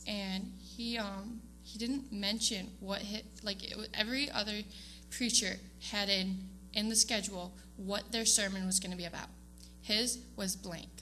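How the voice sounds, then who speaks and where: A woman's voice: medium pitch, fairly even intonation, a little slow, few pauses, sharp tone, faint music behind. A young woman giving a casual, hesitant speech to her church congregation, with frequent ums and small laughs. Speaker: student giving award speech. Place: church sanctuary.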